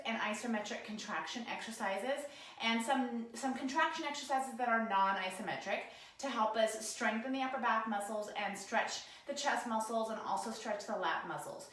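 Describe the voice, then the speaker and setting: A woman with a cold-roughened voice, casually talking viewers through stretches at a work desk while she demonstrates them. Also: fairly high pitch, fairly bright tone, heavy reverb. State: hoarse from a cold. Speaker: posture and ergonomics instructor. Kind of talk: guided stretching lesson, talking to camera. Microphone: camera mic, sometimes turned away.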